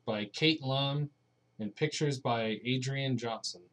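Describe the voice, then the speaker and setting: A man's voice: medium pitch, takes it slowly, fairly bright tone. A young man reading a bedtime story aloud as a parent to his child, with casual asides.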